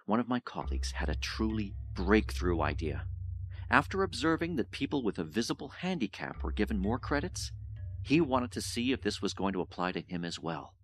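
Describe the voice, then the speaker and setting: A man's voice: on the low side, big pitch swings, normal speed, a bit bright. An actor playing an older male professor in an audio drama, lecturing in formal, condescending, coldly rational tones. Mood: proud, lecturing. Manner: pompous, lecturing, formal wording. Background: music.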